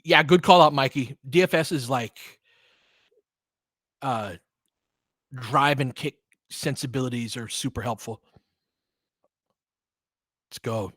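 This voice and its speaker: Excitable man calling basketball play-by-play. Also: mid-range voice, slow pace, pauses often, dry recording. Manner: clipped phrases, excitable, swings from muttering to yelling. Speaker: play-by-play commentator and fan analyst. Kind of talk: live basketball play-by-play commentary, casual watch-along.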